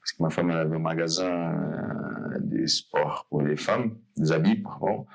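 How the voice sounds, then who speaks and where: A man's voice: a bass voice, a little slow, creaky. A man hosting a podcast interview, talking loosely and rambling, often correcting himself. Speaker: podcast host.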